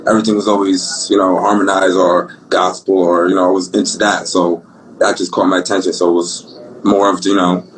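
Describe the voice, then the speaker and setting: A young man with a Brooklyn accent, an R&B singer talking about his life in a relaxed, rambling, slangy way. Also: low-pitched, brisk, low-quality recording. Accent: Brooklyn New York. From Brooklyn, New York. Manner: laid-back, rambling, slangy. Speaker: singer guest. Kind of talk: casual interview talk.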